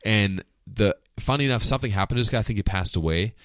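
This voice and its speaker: A young adult man from Germany with a light German accent, chatting as a podcast guest and telling animated stories with many restarts. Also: fairly low pitch, fast, dry recording. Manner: animated storytelling with restarts and put-on quotes. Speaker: guest comedian. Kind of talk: casual podcast chat.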